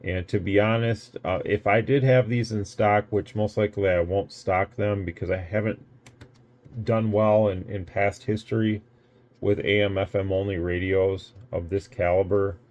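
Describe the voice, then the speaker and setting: Low-pitched American man reviewing gear. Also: fairly even intonation, normal speed, darkish tone. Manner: rambling, matter-of-fact explaining. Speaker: radio shop owner and product reviewer. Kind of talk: casual hands-on product review.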